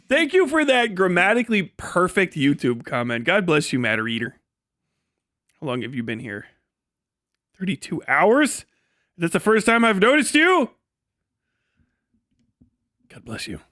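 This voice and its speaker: A man with a high-pitched, sharp voice rambles to a live chat. His delivery is casual and animated, full of profanity, folksy slang and comic exaggeration. The sound is dry and close, without room echo. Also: measured pace, pauses often. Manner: animated storytelling with exaggerated descriptions. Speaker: live streamer.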